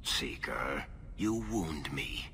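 An actor playing a roguish, evasive dwarf storyteller in a video game, with a sardonic, theatrical and teasing manner. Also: male voice, rumbling low, big pitch swings, very slow, sharp tone, soft background music, exaggerated voice. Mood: wry, dismissive. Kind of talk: dramatic video game dialogue.

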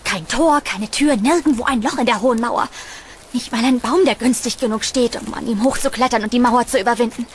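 Woman playing high-voiced, earnest young heroine Sarah. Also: a little fast, a little hiss or hum, lightly acted. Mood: despairing. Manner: expressive, earnest. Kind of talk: horror audio drama acting.

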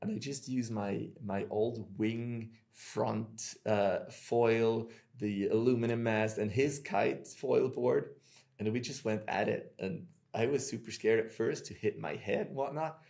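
A Swiss man in his thirties hosts a casual podcast from a small room at home. He tells his stories in an animated, chatty way. The sound comes through earbuds on a video call. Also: lowish voice, measured pace, creaky. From Switzerland. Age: 30s. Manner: chatty, animated storytelling with hand gestures. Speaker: podcast host.

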